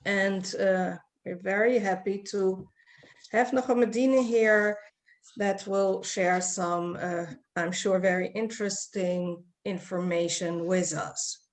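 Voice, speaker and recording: A woman hosting an interview, introducing and questioning informally in halting short phrases. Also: medium pitch, steady tone. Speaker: interview host.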